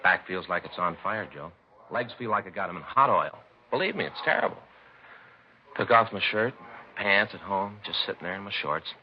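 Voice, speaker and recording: Radio play detective's partner: American, deep-toned, complaining family man, folksy and rambling, muffled old recording. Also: expressive, normal speed. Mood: aggrieved. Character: grumbling, put-upon family-man police detective sidekick. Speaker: actor in radio drama. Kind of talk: 1950s radio acting, conversational dialogue. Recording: dull vintage radio recording, faint noise.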